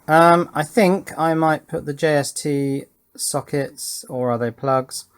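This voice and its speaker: A man narrating hands-on work casually, thinking aloud with pauses and murmured asides as he goes. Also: a little high, steady tone, smooth. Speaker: host of an electronics project channel.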